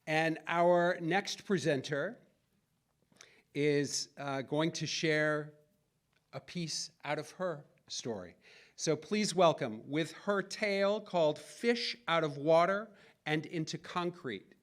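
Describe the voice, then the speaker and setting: A man's voice: fairly high pitch, slow pace. A man hosting a recital, introducing the presenters in a loose way with frequent ums and restarts.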